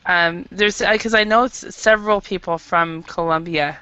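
Young american lady teaching english online, friendly and chatty, lots of ums, bright voice. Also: lowish voice, moderate pace, smooth, no echo, dull audio. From Idaho, USA. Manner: chatty, friendly, with many um and uh fillers. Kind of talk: casual online language lesson. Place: small room at home. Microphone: headset mic.

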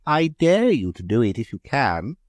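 A man's voice: mid-range voice, sing-song, moderate pace, no echo, voice pushed up, close to an everyday voice. A man reading a story aloud, voicing a provocative wife who teases and dares a man. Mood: teasing. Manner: provocative, daring. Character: teasing, provocative young wife.

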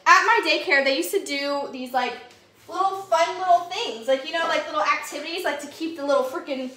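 A woman's voice: sits high, a little fast. A young woman, chatting to viewers on a live grocery haul from her home kitchen, rambling and giggly. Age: young adult. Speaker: livestream host. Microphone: phone camera at arm's length. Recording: echoey kitchen with faint noise.

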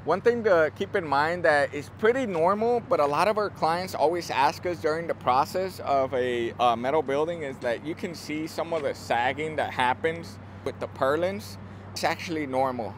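A man's voice: a little high, normal speed. A man in his thirties presenting an unscripted walkthrough of a building site, explaining informally and often rephrasing himself. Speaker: construction company presenter giving a site tour. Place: outdoors on an open steel-frame construction site. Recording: clear outdoor site and vehicle noise.

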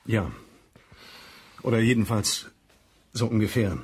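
A cornered man under suspicion in a crime radio play. His voice is husky and rather low, his manner defensive. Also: slow, a little mellow, exaggerated voice. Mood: confessing. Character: cornered, defensive male murder suspect.

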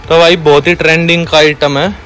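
A young man giving a repetitive, pushy sales pitch for wholesale coats in a big indoor warehouse, filmed on a handheld phone. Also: level tone, fairly quick, fairly bright tone, soft background music. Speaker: wholesale clothing seller. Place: large indoor clothing warehouse.

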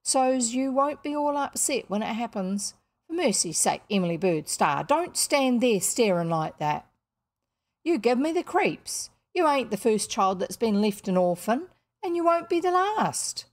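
A woman's voice: fairly high pitch, very animated, unhurried, voice pushed up. A woman voicing a blunt, scolding working-class housekeeper in a storybook, with unrefined rustic speech and dropped letters. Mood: grimly frank, then sharp scolding.

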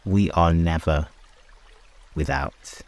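A man hosting a sleep meditation, speaking in short, hushed phrases with long pauses between them, as in guided prayer. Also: low-pitched, fairly even intonation.

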